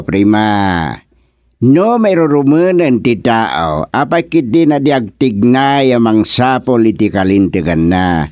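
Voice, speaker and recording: A paternal village chairman with an Ilocano accent, advising and gently scolding in radio drama style. The voice sits in an ordinary male range and the recording is very dry. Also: medium pitch, dry recording. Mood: concerned, advising. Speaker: actor playing village chairman.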